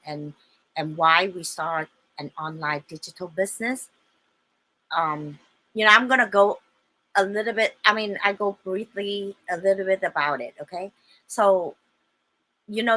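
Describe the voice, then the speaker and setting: A woman explaining a home business in a casual video, halting with many fillers and checking in with "okay?", a bit hoarse with a small cough. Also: lowish voice, pushed and bright, rough recording. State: slightly hoarse with a little cough. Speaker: online business presenter.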